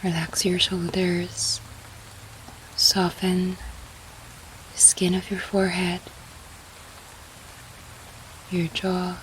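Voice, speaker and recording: A woman leading a guided sleep meditation in a soft, breathy, half-whispered, soothing manner. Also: on the low side, completely flat delivery, slow, slight background noise, low-quality recording. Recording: faint rain sound behind. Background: soft rain.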